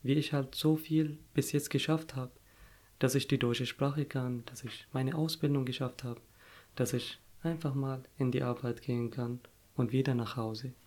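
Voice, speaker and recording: A young man whose first language is Arabic, telling his story in an interview, pausing often to search for words. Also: mid-range voice, steady tone, darkish tone. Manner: halting, searching for words, frequent fillers. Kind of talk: casual personal story.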